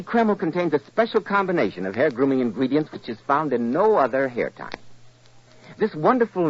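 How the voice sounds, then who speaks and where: A man with an American accent announcing and pitching a sponsor in a polished, emphatic 1940s radio style. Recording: muffled old radio recording.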